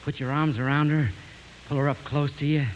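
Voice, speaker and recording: A man's voice: a little high, little pitch movement, fairly quick, muffled recording, close to an everyday voice. An actor playing a naive, earnest young man devoted to his mother in a 1950s radio comedy. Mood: innocent recounting. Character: naive, boyish, earnest young mama's boy singer. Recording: hissy 1950s radio recording.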